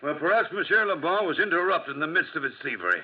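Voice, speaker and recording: A man's voice: fairly high pitch, expressive, fast, breathy edge. A man playing a pompous, foolish French gendarme in a 1940s radio drama, officious and blustering. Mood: insinuating. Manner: officious, blustering, hesitant when challenged. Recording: muffled 1940s radio recording.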